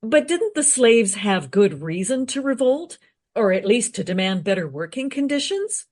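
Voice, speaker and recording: A woman's voice: on the high side, sing-song, normal speed, a little mellow. A woman playing a courteous modern interviewer in a radio play, asking tactful but probing questions.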